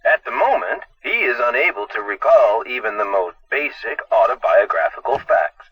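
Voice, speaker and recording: An actor playing an earnest, fussy male hospital nurse in a video game, explaining things dramatically with drawn-out emphasis. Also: mid-range voice, very animated, a bit bright, breathy edge, dry recording, far from the mic, rough recording. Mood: earnest, explanatory.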